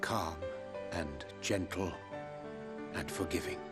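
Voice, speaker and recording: Solemn gentle fantasy game poet type, low voice, olde speech, loud music under him. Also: male voice. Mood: solemn, tender. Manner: slow, archaic, poetic diction. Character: solemn, gentle, poetic man of a fantasy realm. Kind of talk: dramatic video game cutscene acting.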